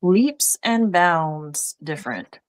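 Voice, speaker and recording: Woman guest, low voice, slow and halting, lots of ums while she finds words. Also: expressive. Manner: halting, searches for words with many fillers. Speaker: podcast guest. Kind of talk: conversational interview answers.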